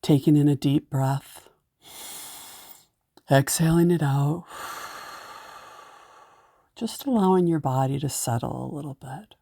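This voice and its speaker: A woman with a low, mellow voice narrates a guided meditation. She takes her time with each gentle, soothing instruction. The sound is close and dry, with no room around it. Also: slow, gravelly, long pauses, no room sound.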